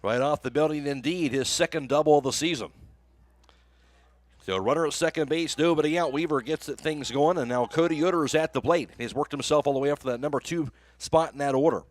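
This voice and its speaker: A man's voice: fairly high pitch, fairly quick, no room sound. An American man working as a radio announcer, speaking in a broadcast style into a close microphone. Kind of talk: live baseball play-by-play commentary.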